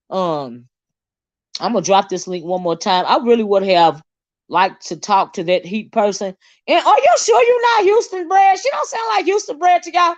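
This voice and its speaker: A woman hosting a casual livestream with sassy, animated, teasing talk and frequent laughter. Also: high-pitched, no room sound, lo-fi sound. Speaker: channel host.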